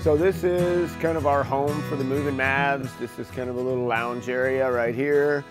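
A man's voice: mid-range voice, steady tone, moderate pace, music in the background. A man in his sixties, a wheelchair basketball coach, telling the program's story steadily in a documentary interview. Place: gym office in front of a team banner.

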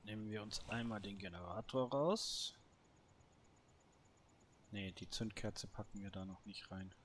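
A man's voice: lowish voice, unhurried, slight background noise. A man giving casual solo let's play commentary, thinking aloud to himself. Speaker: gaming video host.

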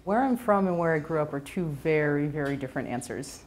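Low-voiced woman chef, earnest and reflective. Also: animated, darkish tone. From Venezuela. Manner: earnest, thoughtful, with brief pauses and restarts. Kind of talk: reflective documentary interview. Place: home kitchen and living room, indoors.

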